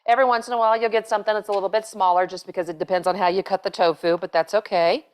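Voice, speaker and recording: A woman's voice: medium pitch, fairly even intonation, racing, a little mellow, slight background noise. A middle-aged woman hosting a cooking demonstration in a home kitchen, talking casually and rambling with fillers and restarts on a lapel mic. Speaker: cooking show host, chef.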